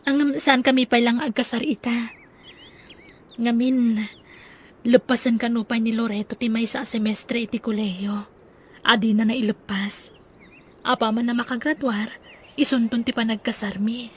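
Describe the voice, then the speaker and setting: A woman playing a devoted, earnest young sweetheart in an audio drama, with a breathy voice. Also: fairly high pitch, steady tone, moderate pace, pauses often. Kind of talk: Ilocano audio drama acting.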